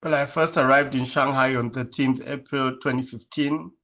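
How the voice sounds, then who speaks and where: A man from Kenya who is a guest in an interview and answers casually in a measured, thoughtful way, with many fillers. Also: mid-range voice, fairly even intonation, a bit bright.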